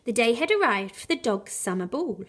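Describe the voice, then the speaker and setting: Female storyteller, high-pitched, expressive children's bedtime read, animated rhyming delivery with dramatic emphasis. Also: moderate pace. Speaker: primary school teacher reading a bedtime story.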